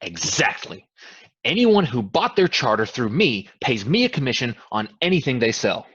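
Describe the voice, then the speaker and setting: An actor plays a shifty male salesman in a stage play, halting and exasperated. His voice is rough and very lively in pitch, on a dull recording. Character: evasive, stammering man selling a scheme.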